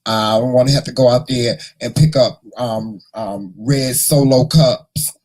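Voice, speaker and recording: A hoarse man hosting a casual morning livestream, chatting and teasing his viewers in a folksy way. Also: medium pitch, fairly even intonation, measured pace, darkish tone, low-quality recording. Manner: folksy, teasing, talks to the chat; repeats words and restarts often. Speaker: morning show host.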